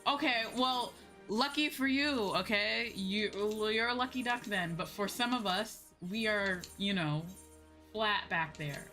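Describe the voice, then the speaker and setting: A woman livestreamer chatting casually as she plays, emphatic and playfully self-deprecating, with an animated way of talking. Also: mid-range voice, unhurried. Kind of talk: casual gaming stream chat. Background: music.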